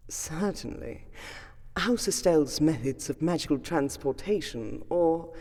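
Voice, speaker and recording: A woman voicing a gracious, ancient vampire lady in a fantasy roleplay audio, speaking formally and soothingly to the listener with a wistful, breathy voice. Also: deep voice, lively intonation, a little slow, darkish tone, full character voice. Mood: reassuring, formal. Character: gracious ancient vampire lady, noble keeper of a magical sanctum.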